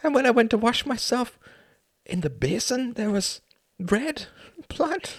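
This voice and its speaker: A man voicing an anguished, frantic young man in an audiobook, with a high, strained, wailing delivery and breathy gasps. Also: big pitch swings, extremely slow, a bit bright, no echo, studio quality, full character voice.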